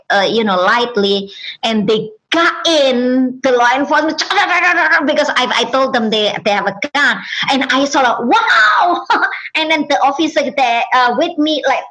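Brief: woman, Indonesian accent, non-native English, interview guest telling her own story; slow, halting, lots of fillers. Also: fairly high pitch, bright, forceful tone, rough recording. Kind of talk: personal testimony.

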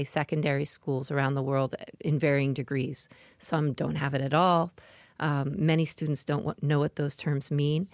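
A woman interviewing a guest, agreeing and then asking measured questions. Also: low voice, darkish tone, no room sound, studio quality.

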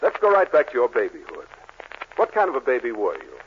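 Pompous fussy psychiatrist. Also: male voice, medium pitch, huge pitch swings, fairly quick, dull audio. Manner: pompous, clinical, growing exasperated. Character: pompous middle-aged male psychiatrist, fussy and impatient. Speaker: psychiatrist in a radio sitcom. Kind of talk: 1940s radio comedy acting. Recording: scratchy 1940s radio broadcast with hiss.

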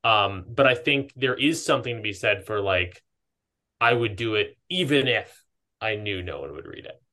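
A man with a fairly low, bright and forceful voice hosts a reflective podcast chat. He thinks aloud in a halting way, circling back to rephrase as he goes. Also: lively intonation. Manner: halting, thoughtful, hedges and rephrases ideas. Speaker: podcast host. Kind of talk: casual reflective podcast conversation.

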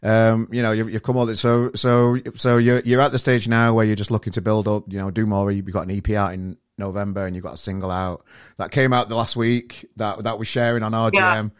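A man's voice: on the low side, steady tone, fairly quick, smooth, no room sound, clean studio sound. A man hosting a podcast interview, casual and prone to stumbling restarts and repeated words. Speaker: podcast host.

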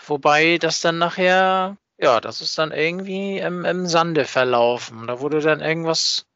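A man talking casually on a podcast, haltingly, repeating words as he searches for the right phrasing. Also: on the high side, fairly bright tone, clean tone, dry recording. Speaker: podcast speaker. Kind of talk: casual chat, recounting how a fan project began. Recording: slightly dull, dry voice recording.

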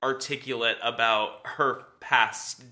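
A man with a naturally high, bright and forceful voice chats through a reality TV recap. He fills his talk with "like" and breaks into laughs often. His tone stays loose and gossipy. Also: steady tone, slow pace. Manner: chatty, lots of "like", frequent laughs. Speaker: podcast co-host. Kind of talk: casual reality TV recap chat.

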